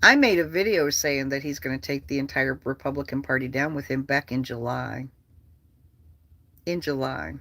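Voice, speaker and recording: Bright, animated livestream host with a dry sound. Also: mid-range voice, moderate pace, no room sound. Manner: animated, profane, repeats phrases. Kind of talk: casual livestream chat, political rambling.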